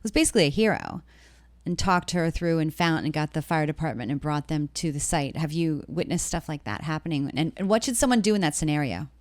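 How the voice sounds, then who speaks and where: A woman's voice: low-pitched, expressive, a little fast, no echo. A woman with an American accent interviewing casually as a podcast co-host.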